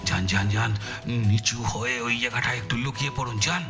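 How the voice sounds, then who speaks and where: A man acting a hot-tempered, forceful police detective in an audio story, agitated and firing off repeated commands. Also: medium pitch, normal speed, soft background music. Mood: hushed, urgent.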